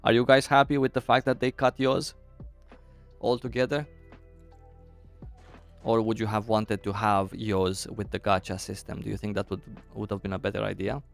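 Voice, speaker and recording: Gravelly Romanian man chatting on stream. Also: on the low side, level tone, soft background music. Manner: unhurried, thinking aloud, answering chat. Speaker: game streamer. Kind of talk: casual live-stream chat with viewers.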